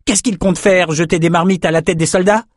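Man acting a hot-headed, high-strung young swordsman. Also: steady tone, fast, no echo, studio quality. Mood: exasperated.

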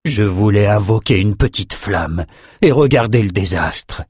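A man's voice: lowish voice, lively intonation, a little slow, a little mellow, husky, no room sound, studio quality, exaggerated voice. A man voicing a troubled male sorcerer in a fantasy audiobook, frustrated, doubtful and emphatic. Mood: grim, frustrated.

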